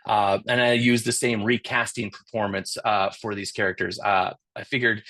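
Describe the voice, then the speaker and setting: A man's voice: fairly low pitch, little pitch movement, moderate pace, bright, forceful tone. A middle-aged man giving a webinar talk as creative director, speaking casually with many fillers while losing his voice. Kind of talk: conference talk.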